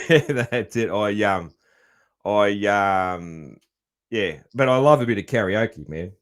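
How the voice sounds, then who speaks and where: Man, host; very low voice, relaxed, chatty, loud, quick to laugh. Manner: laid-back, laughs easily, calls people "man". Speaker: podcast host. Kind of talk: casual interview chat.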